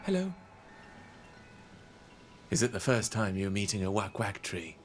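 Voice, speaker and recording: An actor playing a kindly, wise tree-dweller in a fantasy game, with gentle, unhurried storytelling. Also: male voice, lowish voice, sing-song, faint music behind. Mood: friendly, amused. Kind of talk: fantasy video game character acting.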